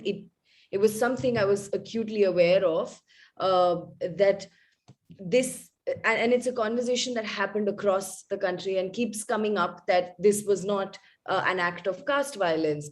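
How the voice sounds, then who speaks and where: A woman journalist speaking on a webinar panel over a video call, thoughtful and halting with many fillers. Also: on the low side. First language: not Hindi. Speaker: journalist and podcast maker.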